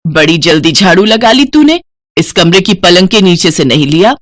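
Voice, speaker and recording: A woman's voice: lowish voice, animated, moderate pace, close to an everyday voice. A woman voicing a suspicious, scolding older mother-in-law from a village in a moral story cartoon. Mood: nosy, bossy. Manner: scolding, blunt.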